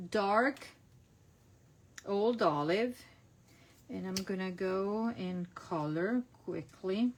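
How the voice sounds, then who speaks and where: A woman's voice: medium pitch, a little hiss or hum. A middle-aged woman from Italy with an Italian accent, chatting casually through a stamping and card-making class as she works. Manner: chatty, narrates each step, self-correcting. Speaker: crafting demonstrator. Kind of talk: casual online craft class.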